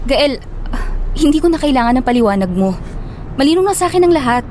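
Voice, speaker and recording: A woman with a Filipino accent playing a guarded, sharp-tongued young office worker in an audio drama. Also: high voice, very animated, fast talker, a little hiss or hum. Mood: hurt, defensive. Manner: scolding, blunt, guarded. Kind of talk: Tagalog audio drama acting.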